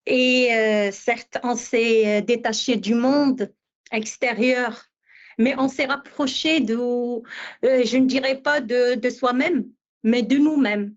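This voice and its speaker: High voiced woman in her 50s, slow and halting, lots of ums, bit muffled sound. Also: fairly even intonation. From Morocco. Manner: hesitant, many pauses and fillers. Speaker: poet guest. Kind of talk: interview answers.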